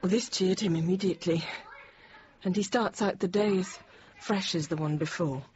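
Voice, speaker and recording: A woman playing a weary schoolteacher in her thirties held hostage, narrating a radio drama in measured, intimate short phrases. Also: fairly low pitch, darkish tone, muffled recording, a little hiss or hum, lightly acted. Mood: weary, subdued. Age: 30s. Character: thirty-year-old female teacher held hostage, weary, reflective.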